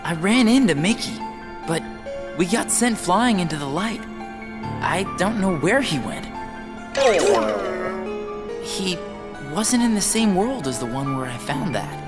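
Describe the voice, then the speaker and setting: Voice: mid-range voice, big pitch swings, unhurried, long pauses, loud music behind the voice. An actor voicing an earnest, determined teenage boy hero in a game, with a casual, sincere way of talking. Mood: explaining. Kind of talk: animated game cutscene acting.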